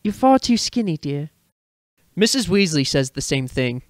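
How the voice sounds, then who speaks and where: A woman's voice: sits low, sing-song, normal speed, no room sound, clean studio sound. A woman reading character lines in a dramatic reading of a fantasy story. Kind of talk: dramatic reading of fan fiction.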